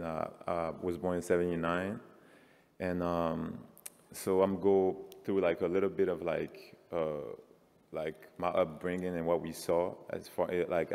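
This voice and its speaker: A man's voice: low voice, creaky, darkish tone. A man in his forties from France, giving a loose, filler-heavy conference talk about his work. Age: 40s. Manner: casual, many fillers like "like". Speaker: panelist artist. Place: large conference hall. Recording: reverberant hall.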